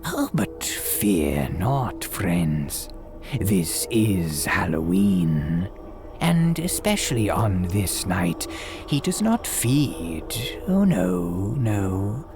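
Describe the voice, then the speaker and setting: A man's voice: low voice, sing-song, very slow, music in the background, full character voice. A man playing a sinister old priest who hosts gothic horror tales, speaking in formal, archaic phrases with an ominous, theatrical delivery that dips into whispers. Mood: macabre, courtly. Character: sinister old graveyard priest, courtly, eerie host. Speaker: horror tale host. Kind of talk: theatrical gothic radio drama monologue.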